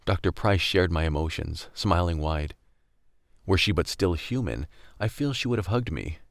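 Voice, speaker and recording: Guy playing a gritty world-weary young survivor telling his story, super low creaky voice, radio drama, dry sound. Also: expressive, normal speed, no echo. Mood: reflective. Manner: wry, brooding, world-weary. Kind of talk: dramatic first-person radio drama narration.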